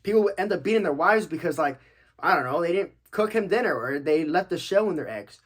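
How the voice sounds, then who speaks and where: A man's voice: fairly high pitch, expressive, a little fast. A young man from Texas hosting a podcast, answering questions in a laid-back, slangy, joking way with casual swearing. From United States, Texas. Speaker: podcast host, active-duty military.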